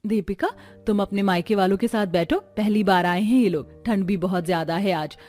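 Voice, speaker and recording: A woman's voice: mid-range voice, moderate pace, darkish tone, soft background music, natural voice. A woman voicing a dutiful, hospitable elder daughter-in-law in a cartoon family story. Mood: polite, welcoming. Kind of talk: Hindi moral-story cartoon dialogue.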